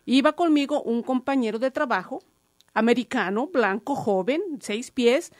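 High-voiced woman radio host telling a story. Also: moderate pace, no room sound, clean studio sound. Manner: conversational storytelling, addresses listeners. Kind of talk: radio discussion with personal story.

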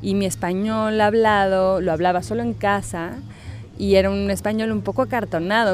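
A woman's voice: fairly low pitch, darkish tone. A woman writer with a slightly unusual Mexican Spanish accent, answering interview questions thoughtfully and searching for her words.

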